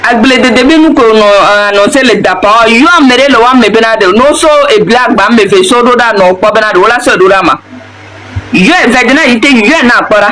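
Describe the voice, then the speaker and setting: A man's voice: very high voice, moderate pace, a loud crowd, rough recording. A man from Togo with a Togolese French accent, delivering a heated, repetitive, scolding political rant to the camera.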